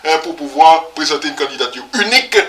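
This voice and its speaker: A man with a bright, forceful voice and a fairly high pitch, born in Congo, co-hosts a community talk show and walks his partner through the news. He often pauses on "hein" and "euh". He sits a little back from the mic, and a faint hiss runs under his voice. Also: animated, reverberant, recorded from a distance. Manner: explanatory, with frequent "hein" and hesitations. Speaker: co-host. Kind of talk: conversational current-affairs talk show.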